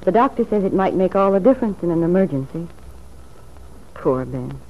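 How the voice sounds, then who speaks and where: A woman's voice: lowish voice, animated, moderate pace. An actress playing a troubled, wavering wife who plots with her lover in a radio drama, earnest and hesitant. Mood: confiding. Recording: tinny, noisy old radio recording.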